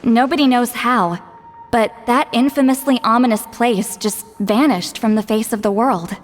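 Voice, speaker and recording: An actress playing a wise, wry elderly woman who guides spirits, speaking in an unhurried storytelling way for a video game. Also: a little high, soft background music, lightly acted. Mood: solemn storytelling.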